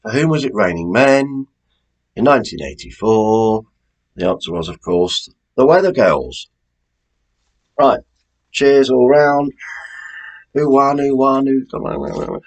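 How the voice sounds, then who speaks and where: A man's voice: medium pitch, lively intonation, takes it slowly, long pauses. A British man presenting a quiz in a chatty, informal way, as the host reading out questions.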